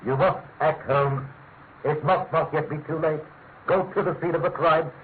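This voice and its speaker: An actor playing an authoritative senior government official in a classic radio drama, formal and urgent. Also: male voice, on the high side, steady tone, moderate pace, lightly acted. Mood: urgent, commanding. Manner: commanding, formal. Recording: tinny, faintly noisy vintage radio.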